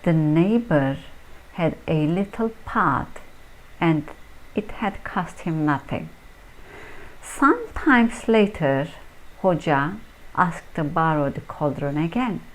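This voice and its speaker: Slow, low-pitched woman telling folktales. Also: lively intonation, rough recording. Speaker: storyteller. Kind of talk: scripted folktale narration.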